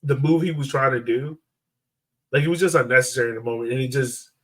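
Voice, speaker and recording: A man's voice: medium pitch, fast, recorded from a distance. A man co-hosting a sports podcast, casually breaking down a soccer match and repeating himself as he thinks aloud.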